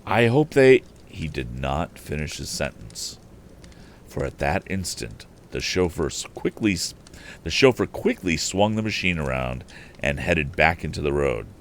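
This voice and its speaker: Male storyteller, deep register, animated narration of a vintage novel, slight stumbles, faint noise behind. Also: a little slow. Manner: expressive, with occasional stumbles and restarts. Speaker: storyteller on a live stream. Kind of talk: animated audiobook-style reading of an old adventure novel.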